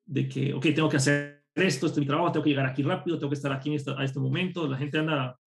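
Gravelly man telling stories, higher voice. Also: very fast. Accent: none, a neutral Spanish. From Costa Rica. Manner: lively anecdotal storytelling. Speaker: programmer and course instructor, guest. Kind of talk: casual storytelling interview.

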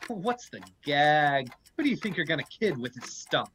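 A man's voice: fairly high pitch, sing-song, moderate pace, a bit bright, a little hiss or hum. A man playing an insistent, accusing lawyer turned amateur detective in a hardboiled vintage-style radio crime drama. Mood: insistent, exasperated.

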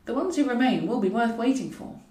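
A woman's voice: a little high, animated, a little fast, dark tone. A woman reads scripted fiction as a narrator, with a wry, wordy storytelling manner. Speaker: author reading own audiobook. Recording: roomy echo, distant from mic.

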